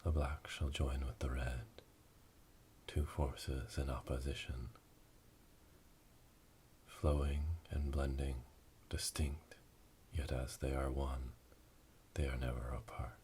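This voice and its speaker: A man playing a solemn witch leading a dark initiation ritual in an ASMR roleplay, whispering slowly and breathily in a monotone incantation, recorded close on binaural microphones. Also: rumbling low. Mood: solemn, hushed. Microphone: binaural microphones, close to the ears. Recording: close binaural recording with clear background noise.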